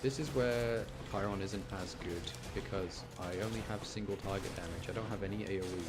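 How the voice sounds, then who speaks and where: Low-pitched British man chatting over music. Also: fairly quick. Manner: chatty, informal, thinks aloud while playing. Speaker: game streamer. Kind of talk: casual live gameplay commentary. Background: music behind the voice.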